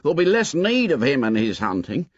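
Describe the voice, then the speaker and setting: A man's voice: medium pitch, huge pitch swings, a little fast, slightly rough, no echo, muffled recording, voice pushed up. A man voicing a fussy, indignant boy who lectures the others in ungrammatical speech.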